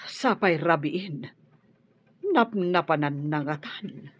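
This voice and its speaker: A woman's voice: deep voice, expressive, slow, breathy edge, heavily acted. A woman with an Ilocano accent playing a worried, conciliatory wife in a radio drama. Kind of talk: Filipino radio drama acting. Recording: dull lo-fi radio audio over music.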